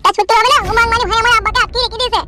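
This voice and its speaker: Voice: very high voice, fairly even intonation, racing, very noisy. A performer voicing a brash, hot-tempered young boy in an amateur cartoon comedy. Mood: indignant. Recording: loud music under the voice.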